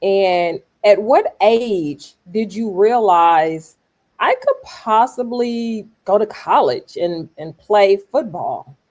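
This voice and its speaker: A woman's voice: medium pitch, expressive, slow pace, darkish tone. A woman hosting a podcast, thanking her guest and asking questions in a gracious way. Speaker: podcast host. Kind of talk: casual interview.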